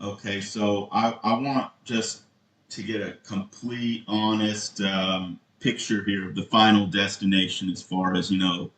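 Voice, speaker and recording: A man calling as a customer, asking questions in a halting, earnest and insistent way. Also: lowish voice, little pitch movement, a bit bright. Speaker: caller, prospective customer. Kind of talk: phone inquiry, questioning. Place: indoor room, phone call. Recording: roomy echo on a recorded call.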